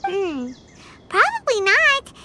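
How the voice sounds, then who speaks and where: A woman voicing a tiny, chirpy, excitable childlike fairy companion in an anime game. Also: very high-pitched, slow pace, heavy background noise, heavily acted. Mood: reassuring. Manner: refers to self in third person, exclamatory.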